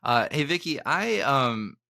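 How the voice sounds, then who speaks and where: A man's voice: mid-range voice, big pitch swings, measured pace, creaky, pushed and bright, no room sound, clean studio sound. A man hosting an interview podcast, asking questions with a lot of "uh" and "um". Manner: hesitant, many fillers. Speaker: podcast host.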